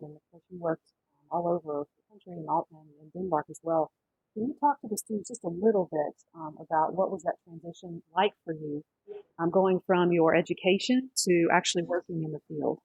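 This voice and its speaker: Husky, lowish-voiced woman host. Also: darkish tone, dry recording, poor audio. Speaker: host, career adviser to music students. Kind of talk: reading an introduction and interviewing.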